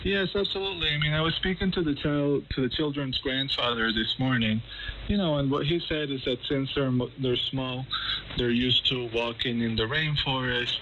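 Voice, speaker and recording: A man's voice: medium pitch, normal speed. Male correspondent, conversational and explanatory with some hesitation; shortwave radio with faint background noise. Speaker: journalist interviewed as correspondent. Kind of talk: explanatory live news interview.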